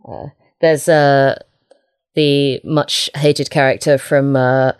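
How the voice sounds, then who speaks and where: Low-voiced woman in a dry recording. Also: steady tone, slow pace, clear, resonant tone, no room sound. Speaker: audio drama creator, interview guest. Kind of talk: casual interview chat.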